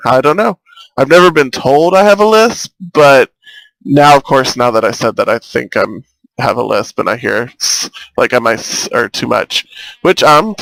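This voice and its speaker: A man's voice: mid-range voice, vocal fry, dry recording, poor audio. Chatty young man on an earbud mic. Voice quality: slight lisp. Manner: chatty, rambling, easily excited. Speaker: gaming YouTuber. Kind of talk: casual let's-play commentary. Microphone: cheap earbud microphone. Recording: thin, robotic-sounding earbud mic.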